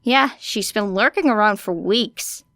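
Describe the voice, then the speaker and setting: A woman's voice: mid-range voice, measured pace, a bit bright, no echo, clean studio sound. A woman voicing an easygoing, teasing male detective in a lifted, friendly voice.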